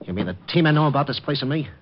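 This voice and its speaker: A man's voice: medium pitch, lively intonation, rapid-fire, lightly acted. An actor playing a menacing but nervous gangster with edgy tough-guy talk in a 1940s radio crime drama. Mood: suspicious menace. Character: menacing, nervous male gangster, cornered counterfeiter. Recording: noisy, dull vintage radio recording.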